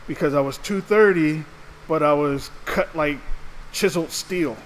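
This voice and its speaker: A man co-hosting a casual podcast. His voice sits a bit higher than most men's, and he chats easily, laughing between words. A faint room hum sits behind him. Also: unhurried, poor audio. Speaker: podcast co-host.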